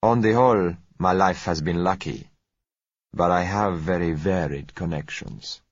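Suave, courteous foreign dealer, read by a man; low, husky, measured delivery. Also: no room sound. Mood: smooth, measured. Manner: unhurried, gentle, courteous. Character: suave polite male foreign oil dealer. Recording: dull lo-fi audio.

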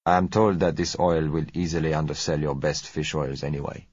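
A man voicing a suave, polite foreign oil dealer, speaking gently and courteously in an audiobook. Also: very deep voice, steady tone, a little fast, husky, no echo. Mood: smooth, measured. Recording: dull lo-fi audio.

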